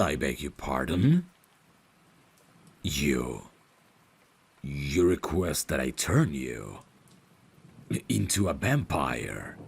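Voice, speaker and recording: A man playing a devoted, courteous vampire butler in an intimate ASMR audio roleplay, speaking formally and deliberately in a gravelly, breathy voice. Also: a bass voice, slight background noise, heavily acted. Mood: startled, concerned. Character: devoted, courteous male vampire butler, tender yet menacing.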